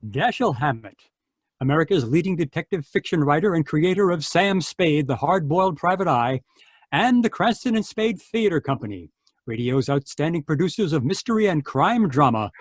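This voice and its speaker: A man serves as announcer in old-time radio style. The delivery is grand, emphatic and very animated. The voice sits fairly high and is recorded dry. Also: normal speed, dry recording. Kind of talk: 1940s radio announcing, scripted.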